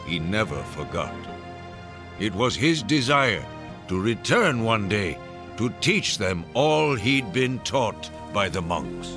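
Solemn, slow, deep storyteller. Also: male voice. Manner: solemn, measured. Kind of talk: scripted radio drama narration. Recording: music behind the voice.

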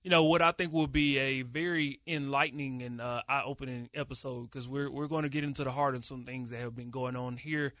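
A man co-hosting a casual sports podcast, talking with animated energy and frequent restarts. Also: mid-range voice, brisk, hardly any pauses, no echo, clean studio sound. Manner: animated, hype-filled delivery with many restarts. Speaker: podcast co-host, radio producer.

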